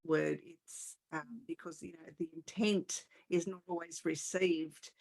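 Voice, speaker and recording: Lower-voiced woman hosting a conversational interview. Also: steady tone, measured pace, creaky, darkish tone. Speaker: podcast host, interviewer.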